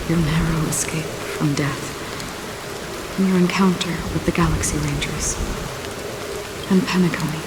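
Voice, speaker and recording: A woman voicing a calm, solemn swordswoman who guides departed souls, speaking in a measured, soft-spoken way in a video game scene. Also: low voice, noisy background. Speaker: game character. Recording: game clip with loud music. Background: game score music.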